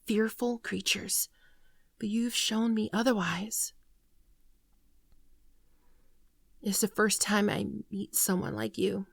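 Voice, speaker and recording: A woman acts out a shy female alien in an ASMR roleplay, earnest and naive about humans. The voice is soft and often whispery, with a creaky edge. She speaks very slowly and tenderly, close to the mic in a very dry space. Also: mid-range voice, no echo. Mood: earnest, apologetic. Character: gentle shapeshifting female alien, naive, earnest, shyly affectionate. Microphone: close microphone, dry sound.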